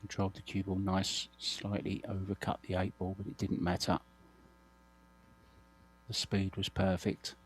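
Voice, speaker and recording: A man giving measured, understated live commentary on a billiards match, his voice slightly croaky. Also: low-pitched, monotone, low-quality recording. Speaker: sports commentator. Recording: broadcast with clear background hum.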